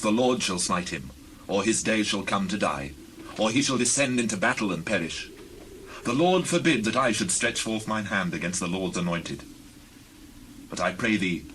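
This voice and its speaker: Outdoor noise and birdsong surround a low, solemn male voice. a devout fugitive warrior of a Bible drama, humble yet bold, declaiming in formal archaic speech with earnest weight. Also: a bit bright, low-quality recording. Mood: firm, reverent. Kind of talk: dramatized scripture audio acting.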